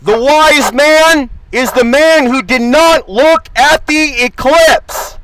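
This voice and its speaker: A man's voice: very high-pitched, takes it slowly, a crowd in the background, rough recording. A man delivering an impassioned, preachy monologue as an online video host, with a confrontational, grandiose and profane manner.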